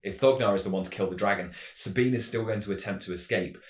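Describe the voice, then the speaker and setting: Deep-voiced man in an echoey room. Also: quick pace. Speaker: tabletop roleplaying game channel host. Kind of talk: semi-scripted explanatory narration. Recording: roomy, echoing voice recording.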